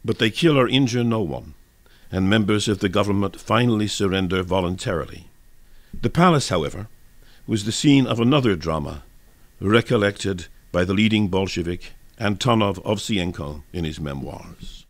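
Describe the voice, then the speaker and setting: A man narrating a scripted dramatic reading for radio in a formal, measured manner. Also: sits low, expressive, dry recording. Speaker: narrator and reader.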